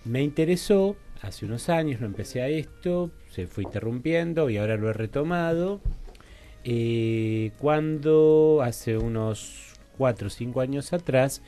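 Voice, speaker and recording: A man's voice: medium pitch, animated, measured pace, warm tone, faint music behind. A man with a Rioplatense Argentine accent explaining his work as an interview guest, hesitantly, searching for words and adding tags. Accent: Rioplatense Argentine Spanish. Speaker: guest psychologist and researcher. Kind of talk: explanatory radio interview talk. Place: radio studio table.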